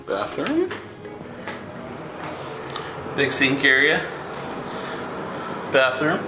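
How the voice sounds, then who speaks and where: A man's voice: medium pitch, huge pitch swings, extremely slow, music in the background, rough recording. A man in his thirties from southern California, talking casually as a travel vlogger, rambling with lots of fillers, filmed at arm's length. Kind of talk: casual vlog narration. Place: outdoors, hotel room and parked van. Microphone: handheld camera at arm's length.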